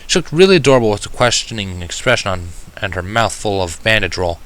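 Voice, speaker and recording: A man's voice: fairly low pitch, animated, quick pace, a bit bright. A man reading scripted first-person fan fiction as the narrator.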